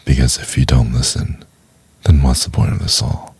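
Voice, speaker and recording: A man playing a domineering, possessive CEO boss in an intimate ASMR roleplay, in a gravelly, breathy half-whisper. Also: rumbling low, darkish tone, dry recording, full character voice. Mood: threatening. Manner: slow, commanding, intimate.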